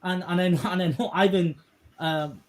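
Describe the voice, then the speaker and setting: A man hosting a podcast in a fairly high voice for a man. He talks politely and haltingly, repeating words as he gathers his thoughts and building up to his questions. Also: steady tone. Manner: courteous, hesitant, repeats words while searching for phrasing. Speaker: podcast host. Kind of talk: conversational interview.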